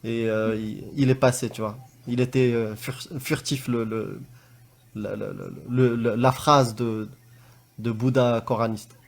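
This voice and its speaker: A man's voice: mid-range voice, little pitch movement, a little slow. A man on a live online voice call giving feedback on a debate, in casual chat full of fillers, restarts and self-corrections. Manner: hesitant, many fillers and restarts. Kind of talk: casual live-stream discussion.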